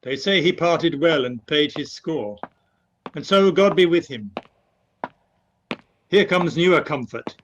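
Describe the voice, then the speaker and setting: Bereaved warrior father , male actor: stoic, restrained verse, slightly high voice, lo-fi radio drama recording. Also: takes it slowly, pauses often, fairly bright tone, slight background noise. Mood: stoic grief. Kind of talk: radio play Shakespeare acting. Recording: dull, lo-fi video call.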